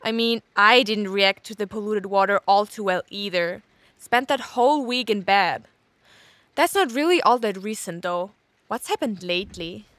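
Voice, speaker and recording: An actress playing a scatterbrained, sarcastic investigator who rambles and interrupts herself in an audio drama log. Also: female voice, mid-range voice, moderate pace, fairly bright tone, dry recording, lightly acted. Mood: scattered, musing.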